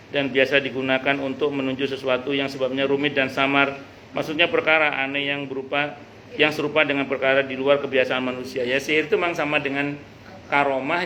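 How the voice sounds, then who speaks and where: Islamic teacher, male, middle-aged. Forceful bright tone, conversational and jokey, halting in places, slightly noisy live recording. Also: mid-range voice. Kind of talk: informal religious study talk with anecdotes and read-out passages. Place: a private home hosting a study gathering.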